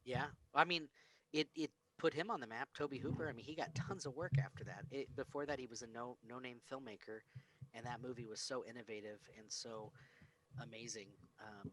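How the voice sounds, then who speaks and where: A man's voice: medium pitch, steady tone, brisk, a bit bright, poor audio. A man chatting casually about films as a panelist on a podcast. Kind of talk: casual film discussion.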